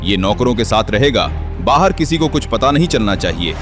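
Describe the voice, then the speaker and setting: A man's voice: medium pitch, very animated, normal speed, over music, lightly acted. A man acting in an audio drama as a stern old grandfather, firm and authoritative. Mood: stern, grudging. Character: stern old grandfather, commanding family patriarch.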